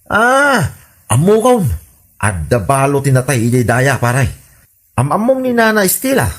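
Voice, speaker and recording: A man's voice: medium pitch, huge pitch swings, moderate pace, fairly bright tone. A man playing a chatty, easily surprised Ilocano neighbour in a radio comedy drama. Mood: helpful.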